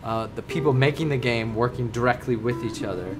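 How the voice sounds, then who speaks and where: Mid-pitched man in interview. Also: brisk, noisy background. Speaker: game developer. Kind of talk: interview talk.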